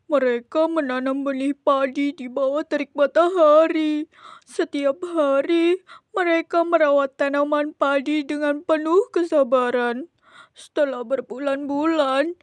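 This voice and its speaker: A woman's voice: high voice, no echo. A woman voicing a sad talking grain of rice in a children's story, tearful and earnest as it explains itself.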